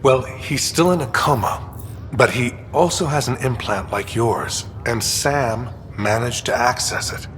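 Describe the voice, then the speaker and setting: A man's voice: mid-range voice, a little slow, fairly bright tone, natural voice. A man playing a careful, kindly ship doctor in a video game, with a hesitant way of explaining things. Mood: cautious. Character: cautious male ship doctor, weary but kindly. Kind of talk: dramatic video game acting. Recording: hall reverb, faint ambient noise.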